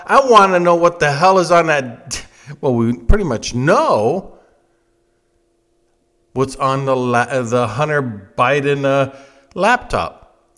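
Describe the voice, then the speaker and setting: Male political commentator, 50s, American. Emphatic and blunt, unhurried delivery with big swings in pitch. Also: a bit bright. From United States. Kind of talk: opinionated political monologue.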